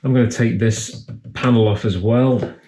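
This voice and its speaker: A man's voice: mid-range voice, normal speed, dark tone. A man giving a casual, matter-of-fact repair tutorial, explaining each step as he works. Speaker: repair video host. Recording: clear background noise, handling clicks.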